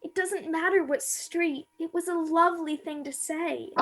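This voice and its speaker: A woman's voice: very high-pitched, steady tone, moderate pace. An actress in a radio play playing a naive, romantic young woman who confides her longing earnestly. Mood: dreamy, longing.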